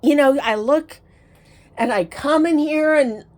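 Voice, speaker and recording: Middle aged lady vlogger, kinda high voice, really unhurried, reflective halting storytelling, casual. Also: darkish tone. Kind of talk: personal reminiscing to camera, casual chat.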